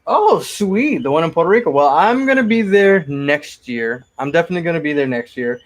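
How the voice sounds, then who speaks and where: A man's voice: fairly high pitch, lively intonation, a little fast. A man in his forties from Connecticut, chatting casually on a live stream as he answers viewer questions about his cosplay builds. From Connecticut, USA. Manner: chatty and rambling, often repeats himself.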